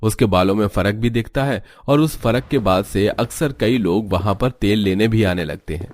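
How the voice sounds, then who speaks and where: A man reading scripted narration for an animated family story. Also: lowish voice, normal speed, a little hiss or hum.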